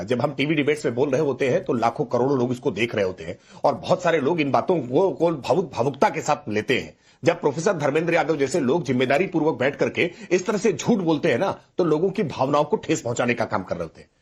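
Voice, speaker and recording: A man's voice: mid-range voice, lively intonation, fast, few pauses, husky. A man speaking as a party spokesman in a heated TV debate, combative and accusatory, repeating his challenges. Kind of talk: heated television debate rebuttal.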